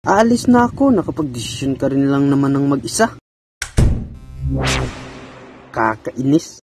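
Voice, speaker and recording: A man's voice: medium pitch, sing-song, a little fast, over music, close to an everyday voice. A man playing a stubborn young husband in an amateur radio drama. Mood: annoyed. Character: stubborn young husband eager for many children, later frightened.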